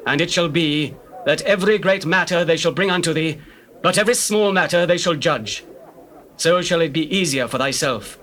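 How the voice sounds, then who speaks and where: A man's voice: a little high, a bit bright, natural voice. A man acting an earnest old patriarch, noisy recording. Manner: solemn, measured, counselling delivery. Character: Jethro, elderly father-in-law of Moses, wise and earnest. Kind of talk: dramatized King James scripture acting.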